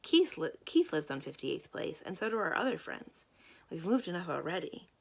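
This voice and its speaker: A woman's voice: fairly low pitch, sing-song, normal speed, creaky, full character voice. A woman voicing an outspoken young girl in a children's novel, earnest and pleading.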